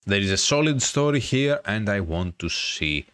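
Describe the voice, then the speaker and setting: Deep-voiced man doing game commentary. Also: animated, moderate pace, a bit bright, smooth, no room sound. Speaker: gaming video host. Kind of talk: visual novel playthrough, commentary and read-aloud. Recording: music behind the voice. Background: game music.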